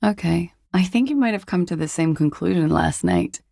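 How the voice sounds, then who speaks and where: An actress playing a cool, guarded young woman in a video game, with a husky, breathy, gravelly voice and a languid, dryly understated delivery. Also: lowish voice, lively intonation, normal speed, dark tone, no room sound. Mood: cool, matter-of-fact. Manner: languid, dry, wryly understated. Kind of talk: video game character dialogue.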